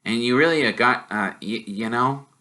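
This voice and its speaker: Man as a shy storybook schoolboy, raised pitch, bright, hesitant, curious, blurting. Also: normal speed, natural voice. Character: shy, curious young red-headed boy.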